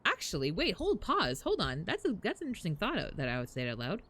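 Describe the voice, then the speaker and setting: Animated, low-voiced woman streamer. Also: fast. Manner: sweary, prone to laughing. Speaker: game livestreamer. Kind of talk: casual chat, reacting to a game and viewers. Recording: faint game music behind. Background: faint music.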